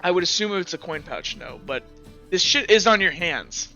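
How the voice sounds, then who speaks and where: High, bright-voiced male game master, rambling. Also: lively intonation, normal speed, lo-fi sound, soft background music. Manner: rambling, leans on "like" and "kinda". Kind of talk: casual tabletop game narration.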